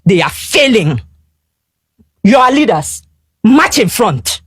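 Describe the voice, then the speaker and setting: Nigerian woman panelist, impassioned rhetorical delivery, high animated voice, dry sound. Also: slow pace, breathy edge, dry recording. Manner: fiery, rhetorical, emphatic. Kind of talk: impassioned debate.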